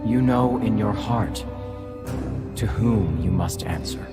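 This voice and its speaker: A stately otherworldly judge of souls in a fantasy game cinematic. The voice is low and very slow, solemn and formal, over loud music. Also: male voice. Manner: grave, formal, measured.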